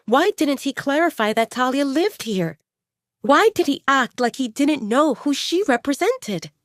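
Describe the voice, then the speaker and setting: Expressive woman narrator, very dry sound. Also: high voice, unhurried, no echo. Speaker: audio drama narrator. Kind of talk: scripted dramatic narration.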